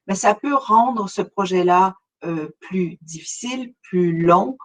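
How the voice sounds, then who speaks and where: A woman's voice: medium pitch, slow pace. A middle-aged woman from Montreal with a Québécois accent, presenting a webinar in a conversational way, speaking straight to her listeners with frequent hesitations. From Montreal, Canada. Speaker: webinar presenter, relocation consultant.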